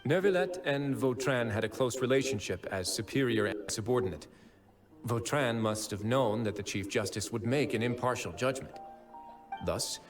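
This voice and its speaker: A man voicing a composed, shrewd, gentlemanly fortress overseer in a video game, articulate and deliberate. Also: on the low side, lightly acted.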